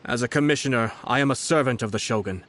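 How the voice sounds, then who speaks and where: An actor playing a refined, calculating young nobleman commissioner in an anime-style game, speaking in a formal, measured, courtly way. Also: mid-range voice, a bit bright, close to an everyday voice. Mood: weary disdain.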